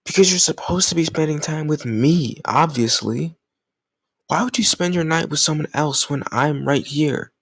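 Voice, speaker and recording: A man's voice: fairly high pitch, moderate pace, fairly bright tone, husky, no room sound. A man playing a jealous, stubborn young lover in an intimate romantic audio roleplay, speaking emotionally and insistently in casual language. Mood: jealous, indignant. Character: jealous, stubborn young male lover confessing his love.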